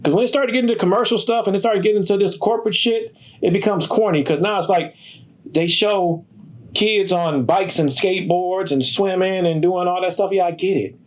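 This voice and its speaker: A middle-aged man, speaking in a slightly higher register than most men. He talks in a streetwise, emphatic and profane way, as in a documentary interview. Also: brisk. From Detroit, USA. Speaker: sneaker store owner.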